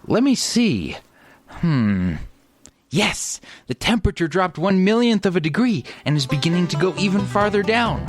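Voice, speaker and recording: Radio comedy crew leader, man acting: young, bossy, urgent, higher-pitched, over clear background music. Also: sing-song, moderate pace. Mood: relieved, brisk. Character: take-charge young man leading a bumbling crew.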